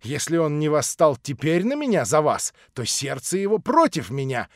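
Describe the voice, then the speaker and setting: A man reading an audiobook voices an older nobleman, lowered, measured and cool. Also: very animated, no echo, clean studio sound. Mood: cold. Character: older nobleman, cold, condescending and smooth.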